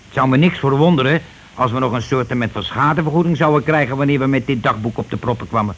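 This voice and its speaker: An actor playing a bossy, indignant, petty working-class husband in a Dutch radio play, with a blustering, colloquial way of talking. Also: male voice, mid-range voice, quick pace, lightly acted. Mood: aggrieved. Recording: noisy old radio play recording.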